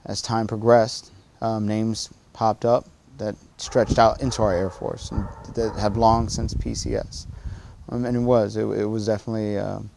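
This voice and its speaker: A man speaking in an unscripted interview in a halting, matter-of-fact way, as a military official would. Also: fairly low pitch, flat delivery, creaky, a little mellow. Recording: faint background noise and ambience.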